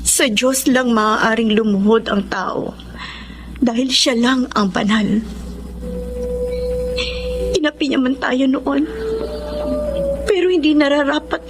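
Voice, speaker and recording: An actress playing a proud, wounded young daughter with declamatory emotion in a Tagalog radio drama. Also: female voice, high-pitched, takes it slowly, long pauses, music in the background. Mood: wounded, reflective.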